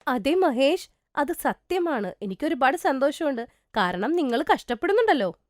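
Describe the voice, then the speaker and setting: A woman voicing a gentle, wronged young orphan woman in a cartoon moral story, earnest and plaintive. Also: high-pitched, very animated, moderate pace, no room sound, studio quality. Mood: glad.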